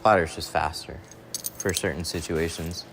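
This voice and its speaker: A man's voice: low voice, steady tone, normal speed, vocal fry, noisy background. A man hosting a casual livestream, answering viewer questions while he tints a truck in a workshop. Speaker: window tint installer hosting a livestream.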